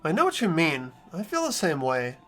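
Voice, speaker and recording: A man plays a thoughtful teenage boy who narrates a game story. His voice is bright and swings widely in pitch, earnest throughout. Faint music plays behind him. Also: huge pitch swings, normal speed, exaggerated voice. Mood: earnest, troubled. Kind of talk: amateur fan voice acting, narration and dialogue.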